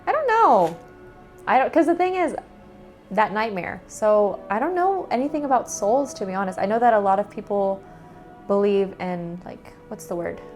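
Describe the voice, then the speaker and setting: A woman's voice: mid-range voice, very animated, normal speed, darkish tone, faint music behind. A young American woman answering interview questions in a casual, chatty way, with lots of "like" fillers. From United States. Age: young adult.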